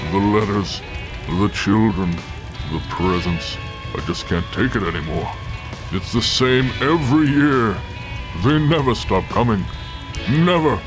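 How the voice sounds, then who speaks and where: A man's voice: fairly low pitch, over music, natural voice. An actor playing a despairing, drunken, centuries-weary Santa gunman who taunts knowingly in an audio drama. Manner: slow, brooding, menacing.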